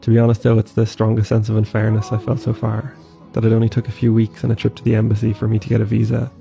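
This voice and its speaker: Gravelly, flat-voiced male travel narrator. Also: fairly low pitch, fast talker. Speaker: travel vlogger. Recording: dull lo-fi voice-over with faint music.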